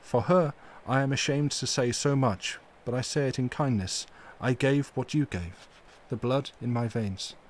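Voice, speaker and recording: A man voicing an elderly, stern but tender foreign professor who speaks in solemn, formal, halting phrases. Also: a little high, expressive, pitched above the performer's own voice, natural voice.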